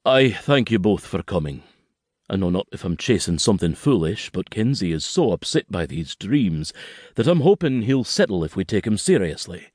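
Man voicing a father, gentle, reassuring, lively lilt, dry close sound. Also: lowish voice, normal speed, slightly rough, no echo. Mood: tender. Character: Highland father, tender and steady.

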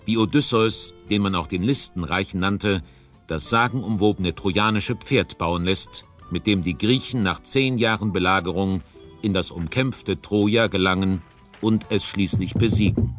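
A man narrating a scripted audio drama trailer in a measured storytelling tone. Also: sits low, soft background music.

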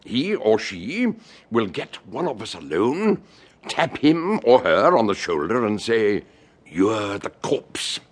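An actor playing a genteel house-party host in a radio drama, speaking deliberately and theatrically. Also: male voice, on the low side, sing-song, exaggerated voice. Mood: theatrical, relishing.

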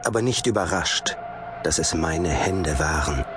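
A man's voice: a bass voice, lively intonation, a little slow, over music. An actor playing a nervous, fatalistic man who tells of his own misfortune in an audio drama, brooding and resigned, at times agitated. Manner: brooding, resigned narration breaking into agitated dialogue. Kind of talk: audio drama acting with first-person narration. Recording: loud noise under the voice.

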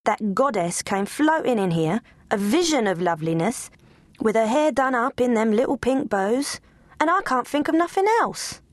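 A woman voicing a humble, scruffy male working dog who talks in folksy, rough country grammar. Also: a little high, lively intonation, moderate pace, no echo, clean studio sound, voice pushed up, natural voice. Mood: earnest.

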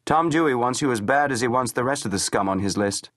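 A man's voice: mid-range voice, quick pace, a bit bright, no room sound, clean studio sound, voice pushed up, natural voice. A man voicing a terse senator in his sixties who speaks curtly. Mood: contemptuous. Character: terse older male senator, displeased.

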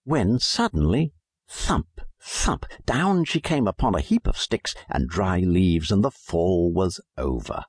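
A man narrating a children's storybook as a scripted audiobook, in an expressive storytelling manner. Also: on the low side, breathy edge, no room sound, clean studio sound.

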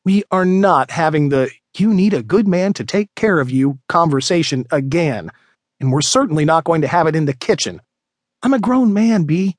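A man's voice: a little high, dry recording, clean studio sound. A man doing a weary, wry baker. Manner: exasperated, muttering.

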